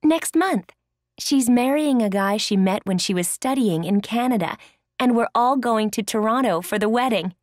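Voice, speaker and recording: A woman's voice: fairly high pitch, expressive, normal speed, no room sound, studio quality, lightly acted. A woman playing a friendly, chatty acquaintance making small talk in a scripted listening-exercise dialogue. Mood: excited, pleased.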